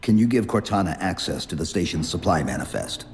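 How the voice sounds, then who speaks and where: Low-voiced stoic soldier, clipped orders, reverberant mix with music. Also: male voice, animated, a little fast, a little mellow, slight background noise. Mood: calm. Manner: terse, clipped, commanding. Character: stoic male supersoldier, terse. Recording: game cutscene, long reverb, music.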